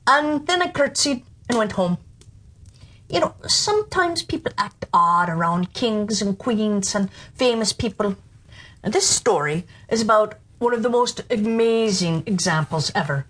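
A woman narrating children's stories in an expressive, deliberate and playful theatrical style. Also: mid-range voice, poor audio. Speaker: storyteller and audiobook narrator.

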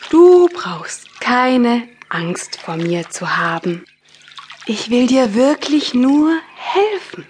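A woman's voice: on the high side, sing-song, drawn-out words, slight background noise, full character voice. A woman voicing a kind, helpful little female dragonfly in a children's audiobook, speaking carefully and reassuringly. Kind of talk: children's audiobook character acting.

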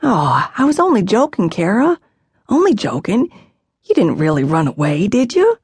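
A woman's voice: medium pitch, huge pitch swings, normal speed, darkish tone, slightly rough, no room sound, exaggerated voice. A woman voicing a teasing, cocky young boy. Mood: startled. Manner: teasing, casual.

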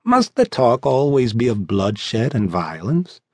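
A man's voice: medium pitch, sing-song, slow pace, darkish tone, slightly rough, no room sound, exaggerated voice. A male reader acts out a refined young nobleman for an audiobook. He sounds languid and superior, choosing his words formally and with disdain. His intonation moves expressively, and the sound is close and dry. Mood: weary. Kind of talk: audiobook character voice.

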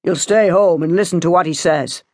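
A woman voicing a curt, domineering middle-aged father with a sharp, bossy tone in an audiobook story. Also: fairly low pitch, moderate pace, no room sound, studio quality, pitched above the performer's own voice, close to an everyday voice.

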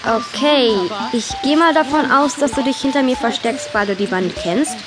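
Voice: sits high, normal speed, over music, close to an everyday voice. A performer playing a cheeky, sarcastic country girl in a children's audio drama, with a wry delivery. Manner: wry, mock-formal at times.

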